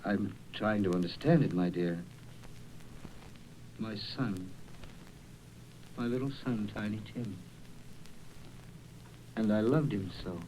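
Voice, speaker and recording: An actor playing a gentle, grieving father in an old-time radio drama, speaking in a subdued, tender, halting way. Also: on the low side, a little hiss or hum, rough recording. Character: gentle, grieving working-class father and husband.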